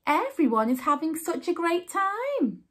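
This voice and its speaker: A woman's voice: extremely high pitch, very animated, measured pace, noisy background, pitched above the performer's own voice, heavily acted. A woman voicing a cheerful, encouraging wife mouse in a children's storybook. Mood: soothing.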